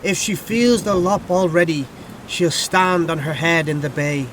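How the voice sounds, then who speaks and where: A man's voice: a little high, unhurried, background noise. A man giving a dramatic, storytelling recitation of verse.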